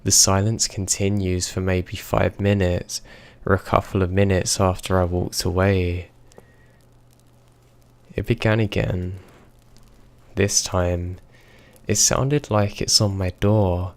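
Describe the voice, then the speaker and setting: A man narrating a horror story in a slow, measured, even reading. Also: sits low, dry recording.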